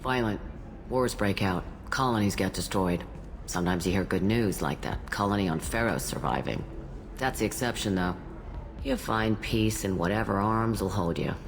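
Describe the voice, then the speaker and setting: An actress playing an ancient, blunt alien matriarch tending bar in a video game, with a gruff, wry manner. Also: female voice, rumbling low, moderate pace, slight background noise. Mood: world-weary. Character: jaded, blunt thousand-year-old alien matriarch bartender. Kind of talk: video game character dialogue.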